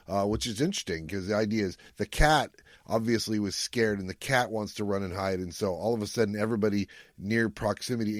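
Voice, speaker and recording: A man with a fairly deep voice talks in a dry, close recording. He co-hosts a casual recap show, retelling plots in an animated way and slipping into quoted lines as he goes. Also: no echo. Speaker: podcast co-host. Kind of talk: casual recap chat about retro TV sci-fi.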